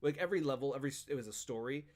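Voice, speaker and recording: A man co-hosting a casual chat, recapping a video game in a rambling, animated storytelling way. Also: medium pitch, racing. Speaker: podcast co-host.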